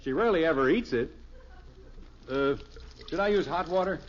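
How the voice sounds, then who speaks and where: A man's voice: on the high side, animated, moderate pace, lightly acted. An actor playing a genial, easily exasperated middle-aged suburban father in a 1950s radio sitcom, folksy and dryly sarcastic. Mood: flustered, exasperated. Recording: dull old radio recording, faint hiss.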